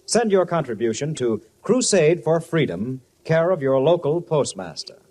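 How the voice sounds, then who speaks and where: Animated 1950s radio announcer, male. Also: fairly high pitch, normal speed, heavy background noise. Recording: vintage radio broadcast with music underneath. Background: music.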